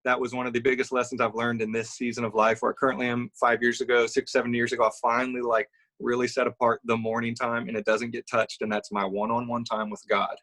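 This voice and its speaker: A young man from Louisiana, speaking as a musician guest in a casual live-streamed interview. He talks in a loose, friendly, rambling way, full of little restarts. His voice sits in a plain middle pitch. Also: quick pace, hardly any pauses. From Baton Rouge, Louisiana. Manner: relaxed, affable, loose and rambling.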